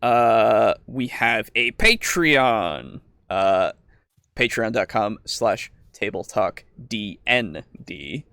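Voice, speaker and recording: Clear-voiced man hosting a casual podcast. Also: fairly low pitch, animated, drawn-out words, pushed and bright, dry recording, studio quality. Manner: informal, rambling, prone to chuckling. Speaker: podcast host. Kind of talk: casual podcast chat and show sign-off.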